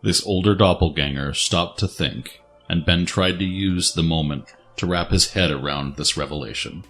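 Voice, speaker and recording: Very deep-voiced male story narrator. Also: moderate pace, a bit bright, faint music behind. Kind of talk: scripted dramatic story narration.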